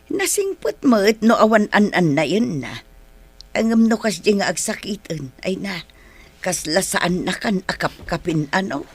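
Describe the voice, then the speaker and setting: Husky, long-suffering elderly Filipino mother. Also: mid-range voice, sing-song, unhurried, full character voice. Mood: weary, motherly. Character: ailing elderly mother, long-suffering, gently chiding wife. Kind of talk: radio drama acting.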